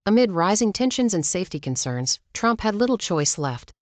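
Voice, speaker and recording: A woman's voice: mid-range voice, very animated, normal speed, noisy background. A woman delivering scripted news narration as a channel's narrator.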